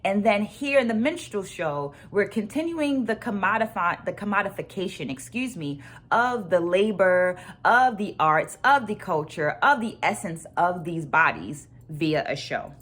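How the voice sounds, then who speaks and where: A woman hosting a book club vlog, sharing her takeaways in a deliberate, emphatic way and repeating phrases to stress them. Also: medium pitch, lively intonation, a bit bright. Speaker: host of a virtual book club. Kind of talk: book discussion vlog with takeaways and read quotes.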